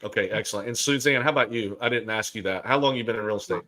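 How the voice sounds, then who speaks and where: A man's voice: fairly low pitch, rapid-fire, sharp tone. A middle-aged man from Alabama hosting a casual talk, chatty and joking, with many fillers. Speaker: host. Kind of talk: casual chat.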